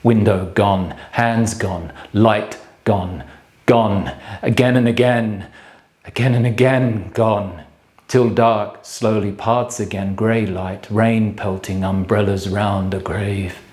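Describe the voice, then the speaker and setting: An actor playing a bleak, solitary man brooding on death in a theatrical monologue, speaking in grave, halting fragments. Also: on the low side, fairly even intonation, a little mellow. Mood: bleak, haunted. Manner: halting, clipped fragments with measured pauses. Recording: echoey room sound.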